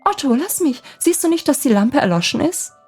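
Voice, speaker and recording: A storybook young girl in love, played by a woman narrator, with a high, lively voice. She pleads coyly and a little breathlessly, teasing and tender yet easily flustered. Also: fairly quick, soft background music. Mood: flustered, playful.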